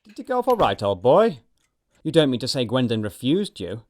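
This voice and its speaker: An actor playing a witty, flippant young upper-class English dandy with an arch, playful delivery, in an audio production of a stage comedy. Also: male voice, medium pitch, sing-song, fairly quick, darkish tone, close to an everyday voice. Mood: amused, flippant.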